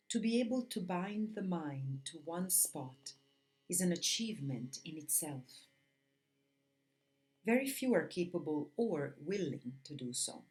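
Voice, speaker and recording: A woman yoga teacher reading a spiritual commentary aloud in measured, deliberate phrases. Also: fairly low pitch, darkish tone. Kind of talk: read-aloud spiritual lesson with Sanskrit chanting.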